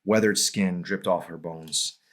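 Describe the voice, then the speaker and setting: A man reading his own novel as a dramatic audiobook, in a grave, deliberate storytelling manner. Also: deep voice, steady tone, fairly bright tone.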